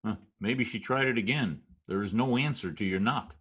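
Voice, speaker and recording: An actor playing a wry, streetwise New storyteller, narrating and talking in an old-time radio drama. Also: male voice, fairly low pitch, moderate pace, muffled recording, heavy background noise, close to an everyday voice. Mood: sardonic. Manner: wry, deadpan. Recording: slightly rough remote video-call audio.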